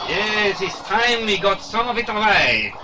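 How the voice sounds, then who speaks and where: A ruthless male gang boss in a children's radio-style adventure play. His voice is fairly high for a man, cold and clipped with menacing authority, over clear background noise. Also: sing-song, unhurried. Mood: businesslike. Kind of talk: children's audio drama acting. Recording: noisy older dramatised audio recording.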